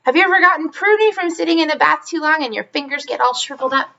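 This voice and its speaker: A woman's voice: sits high, fast, vocal fry, sharp tone, lo-fi sound. A woman reading a children's story aloud expressively, like a teacher, adding chatty asides straight to her young listeners.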